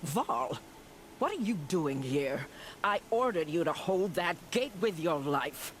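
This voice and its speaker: A proud, bitter war chief, noisy backdrop. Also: male voice, a little high, sing-song, slow, a bit bright, full character voice. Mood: stern, bitter. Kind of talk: dramatic video game acting.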